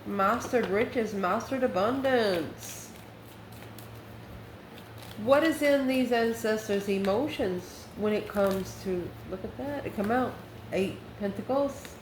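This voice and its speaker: A woman's voice: a little high, measured pace, darkish tone. Woman reading tarot in an echoey room. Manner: exclamatory, conversational, addresses viewer directly. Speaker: tarot card reader. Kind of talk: casual, spontaneous tarot reading narration. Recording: echoey room with faint background noise.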